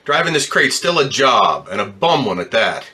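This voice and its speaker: A man's voice: mid-range voice, sing-song, moderate pace, pushed and bright. A man playing an embittered, distraught ambulance driver in slangy, stammering old-time radio drama style. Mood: bitter, grumbling. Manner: slangy working-class talk, stammering when upset.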